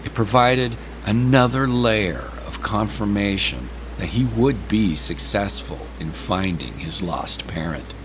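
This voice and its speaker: A man narrating a scripted story in a measured, literary storytelling manner. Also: fairly low pitch, noisy background.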